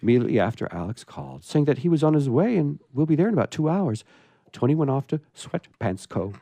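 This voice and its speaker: A man's voice: mid-range voice, very animated, fast, vocal fry, dark tone, dry recording. A man hosting a radio show and reading a humorous story aloud, with playful, amused asides.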